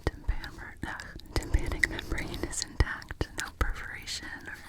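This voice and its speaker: A woman in a whispered ASMR roleplay as a doctor, slowly giving gentle instructions during an exam.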